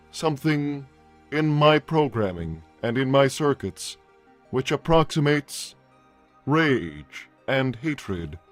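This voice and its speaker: A man playing a formal robot peace consul with stilted, deliberate phrasing and a wounded, bitter temper, in a livestreamed roleplay. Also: medium pitch. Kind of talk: improvised character roleplay on a livestream.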